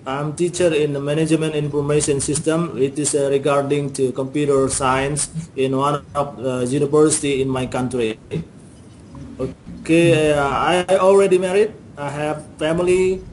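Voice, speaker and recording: A man from Indonesia introduces himself on a video call, his voice a little high. He speaks careful, formal learner's English, and a faint noise sits under his voice. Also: fairly even intonation, moderate pace, darkish tone, low-quality recording. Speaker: university lecturer. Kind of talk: self-introduction.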